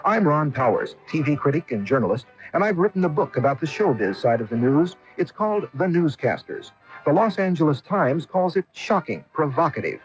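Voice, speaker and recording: Animated American man, highish, radio ad. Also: fairly quick, faint music behind. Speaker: journalist and author promoting a book. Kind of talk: scripted radio ad. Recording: dull old radio commercial.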